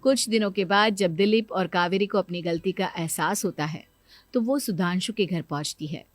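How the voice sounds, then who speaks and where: A woman's voice: lowish voice, normal speed, no room sound, faint music behind. A woman reading scripted narration as the storyteller.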